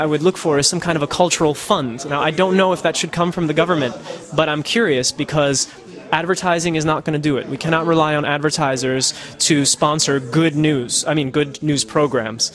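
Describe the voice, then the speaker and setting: A man from the United States, a podcaster, answering interview questions in a casual, thoughtful way with many fillers and restarts. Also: a little high. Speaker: podcaster and citizen journalist. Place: Netherlands.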